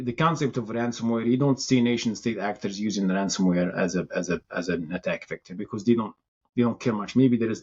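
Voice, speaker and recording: Husky-voiced Moroccan-born man, thinking aloud. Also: medium pitch, a little mellow, muffled recording. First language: Tamazight. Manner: thoughtful, restarts phrases often. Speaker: city information security chief, guest. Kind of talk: casual interview answers.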